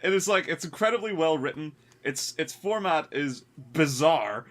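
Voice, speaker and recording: A man co-hosting a podcast in casual, animated, rambling chat with loose swearing. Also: a little high, moderate pace. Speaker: podcast co-host.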